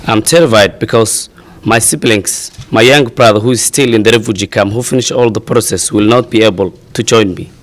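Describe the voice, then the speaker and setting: A young man from Somalia, a refugee advocate, gives a halting, earnest statement at a press conference, full of pauses and fillers. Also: on the low side. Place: outdoor press event.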